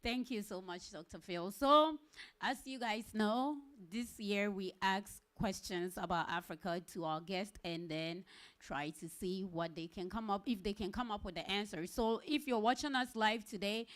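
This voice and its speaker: A woman's voice: mid-range voice, moderate pace, fairly bright tone. A woman hosting a live interview show, friendly, introducing her guest and repeatedly asking viewers to share and subscribe. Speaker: host.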